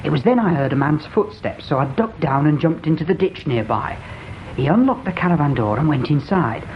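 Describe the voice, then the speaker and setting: An actor playing a naive, deferential young English lad in a radio drama, speaking in an earnest, hesitant, respectful manner. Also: male voice, fairly high pitch, lively intonation, a little fast, lo-fi sound, slight background noise, close to an everyday voice. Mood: earnest recounting. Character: naive, deferential young lad giving eager witness testimony.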